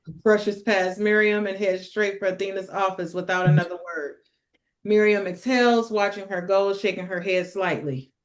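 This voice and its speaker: Mid-pitched woman reading stage directions. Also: fairly even intonation, moderate pace. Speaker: table read narrator and director.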